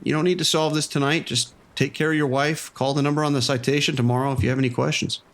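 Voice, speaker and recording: An actor playing a weary, level-headed police sergeant who talks calmly and informally, with some hesitant restarts. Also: male voice, on the high side, fast, close to an everyday voice. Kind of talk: audio drama acting.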